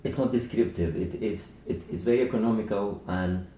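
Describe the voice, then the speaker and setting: A man's voice: lowish voice, a little fast, warm tone. A man who is not a native English speaker, discussing books informally and searching for his words. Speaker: author discussing a book. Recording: echoey room, distant mic, faint noise.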